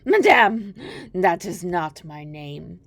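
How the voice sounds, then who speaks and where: A woman's voice: on the low side, lively intonation, takes it slowly, full character voice. A woman voicing a droll little male hobgoblin, a sly bargainer with an emphatic, singsong manner, in a storybook reading. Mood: smug.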